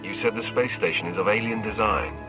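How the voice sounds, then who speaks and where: Man acting a deep, menacing Sith enforcer. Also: a little fast, over music, natural voice. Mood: cool. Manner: menacing, terse, self-assured. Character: cold, merciless male Sith enforcer, self-assured. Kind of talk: dramatic video game acting.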